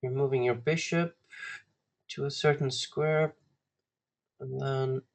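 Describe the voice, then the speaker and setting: A man from Iceland with an Icelandic accent, teaching a live online lesson as a coach in a halting, deliberate way with long pauses. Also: mid-range voice, fairly even intonation, gravelly. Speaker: guest chess coach. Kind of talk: live online lesson, talking through puzzles to students.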